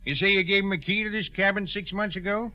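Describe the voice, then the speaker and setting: Easygoing country sheriff played by a man, folksy and matter-of-fact, higher male pitch, dull vintage radio recording. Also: fairly even intonation, fast, close to an everyday voice. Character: plain-spoken, easygoing small-town sheriff. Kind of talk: 1940s radio acting.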